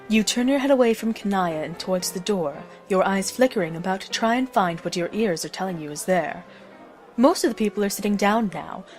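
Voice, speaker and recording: A woman reads scripted story narration in the second person. Her voice is medium in pitch for a woman. Faint music runs behind her words.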